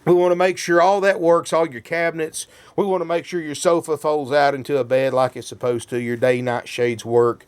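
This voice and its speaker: A man's voice: medium pitch, a little mellow. A man giving a folksy sales walkthrough of a motorhome while getting over a cold. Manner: folksy, keeps saying "folks". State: has a cold. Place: inside a motorhome.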